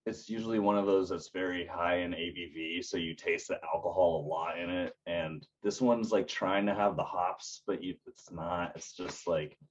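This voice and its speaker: Husky-voiced young man, laid-back chat. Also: low-pitched, moderate pace, few pauses, dull audio. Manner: relaxed, rambling, laughs often. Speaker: band vocalist. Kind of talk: casual podcast chat.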